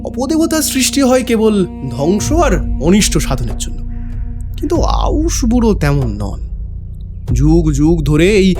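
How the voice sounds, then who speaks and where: A man playing a grave, knowledgeable explainer of village folk belief in a horror audio drama, speaking emphatically. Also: a little high. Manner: explanatory, emphatic, rhetorical questions.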